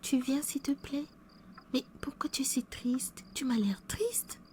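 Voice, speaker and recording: A woman playing a caring wife who gently worries about her sad husband in a church skit. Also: high-pitched, unhurried, in a whisper. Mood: concerned. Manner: gentle, concerned, affectionate.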